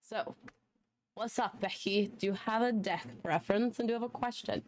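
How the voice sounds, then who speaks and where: A woman's voice: mid-range voice, moderate pace. A young woman who is losing her voice, chatting casually and laughing often as she hosts a live tarot reading stream. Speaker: tarot reader and livestream host. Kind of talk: casual livestream chat.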